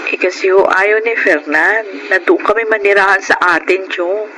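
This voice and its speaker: A woman's voice: mid-range voice, normal speed, crowd noise, close to an everyday voice, rough recording. An actress playing a tired, outspoken young wife and mother in a radio drama, defensive and firm. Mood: tired, polite.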